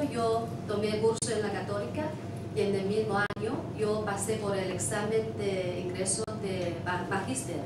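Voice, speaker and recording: A woman whose first language is Mandarin, with a Chinese accent, introducing herself to a class in halting speech and often searching for words. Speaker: guest lecturer. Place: university classroom. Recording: far-off roomy classroom with loud rumble.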